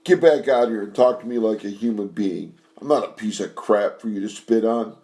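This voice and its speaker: A man's voice: on the low side, normal speed, warm tone, close to an everyday voice. A man voicing a huge, hot-tempered band leader who blusters and threatens. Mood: angry.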